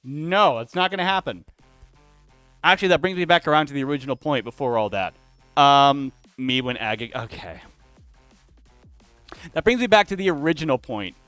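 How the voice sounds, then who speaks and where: Lively chatty young man streaming. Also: mid-range voice, moderate pace, pauses often, clear, resonant tone, no echo, faint music behind. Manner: rambling and self-interrupting, talks back to chat. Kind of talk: casual chat with viewers.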